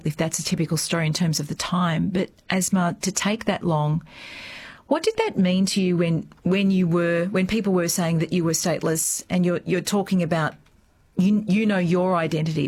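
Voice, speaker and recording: A woman hosting a radio interview, asking gentle probing questions and often rephrasing them. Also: fairly low pitch, husky. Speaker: radio host.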